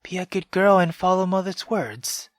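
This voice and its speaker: A man voicing a stern, reproachful mother in a visual novel, speaking low and hushed. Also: moderate pace, no room sound, clean studio sound, close to an everyday voice. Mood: cold scolding. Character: stern, scolding mother figure, woman.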